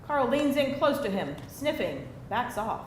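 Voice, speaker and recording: In a very echoey venue with faint background noise, a woman narrates a screenplay. She reads stage directions at a live table read in a fairly high voice. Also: big pitch swings, a little slow. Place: indoor live reading before an audience.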